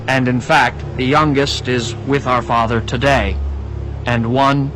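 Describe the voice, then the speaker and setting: A man's voice: mid-range voice, fairly even intonation, unhurried, fairly bright tone, natural voice, poor audio. Man acting humble pleading brother, music behind. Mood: anxious, pleading. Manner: earnest, deferential. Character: humble pleading shepherd's son before a powerful lord. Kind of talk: dramatized audio Bible acting. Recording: music under the voice.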